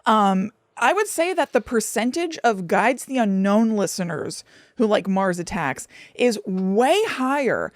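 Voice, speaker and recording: A woman's voice: mid-range voice, lively intonation, unhurried, dry recording, studio quality. A woman with an American accent co-hosting a podcast, chatting casually and agreeably about film in an upbeat way. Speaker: podcast co-host.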